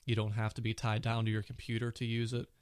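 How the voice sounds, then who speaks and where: A man's voice: fairly low pitch, completely flat delivery, fast, clear, resonant tone, no room sound, clean studio sound. A man hosting his own casual tech podcast, chatty and full of fillers, with a cold and a sore throat. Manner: chatty, many "you know" fillers. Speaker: podcast host.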